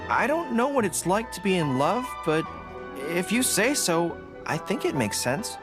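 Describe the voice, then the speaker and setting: A man's voice: sits high, fairly bright tone, exaggerated voice. A young man playing an earnest, awkward, easily flustered dog-eared general in an anime-style video game. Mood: thoughtful, earnest. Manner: earnest, hesitant, boyish.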